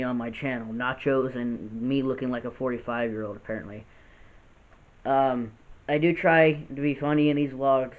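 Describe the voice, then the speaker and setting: A man in his late twenties with a gravelly voice, talking earnestly and unscripted in a vlog, often restarting his sentences. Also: mid-range voice. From United States. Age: 20s. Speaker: vlogger. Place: house with poor lighting. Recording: tinny phone-like audio.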